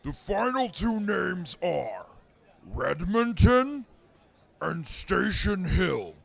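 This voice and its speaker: A man's voice: high-pitched, very animated, drawn-out words, gravelly, a bit bright, full character voice. A man playing a boastful, hot-tempered super mutant reporter who talks stiffly and formally in a radio drama. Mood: tense, formal. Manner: stiff formal speech breaking into threatening outbursts. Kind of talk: post-apocalyptic radio comedy drama acting.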